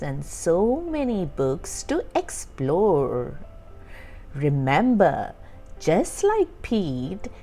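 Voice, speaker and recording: A woman reading a children's storybook aloud as a storytime host, with expressive, deliberate phrasing for young listeners. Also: medium pitch. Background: background music.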